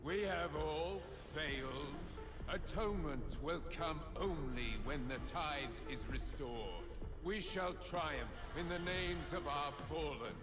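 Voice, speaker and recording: Stern, slow-spoken zealot lawman. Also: on the high side, over music. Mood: solemn, resolute. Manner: solemn, deliberate, authoritative. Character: stern, zealous lawman officer of a grim sci-fi order. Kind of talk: dramatic video game cutscene acting.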